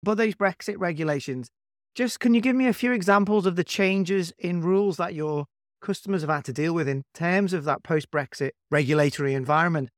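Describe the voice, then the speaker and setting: A man's voice: on the high side, fairly quick, dry recording, clean studio sound. A man hosting an interview, asking conversational, probing questions. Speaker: podcast host, interviewer.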